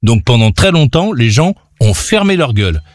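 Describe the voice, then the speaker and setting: A man's voice: medium pitch, big pitch swings, normal speed, no room sound. A man arguing insistently in a heated debate, sarcastic at times and full of hesitations.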